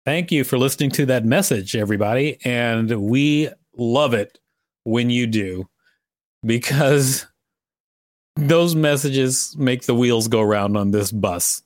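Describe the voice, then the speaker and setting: A man's voice: medium pitch, takes it slowly, dry recording, studio quality. A man hosting a show, reading an encyclopedia-style article aloud. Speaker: podcast host and reader. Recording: music behind the voice. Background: background music.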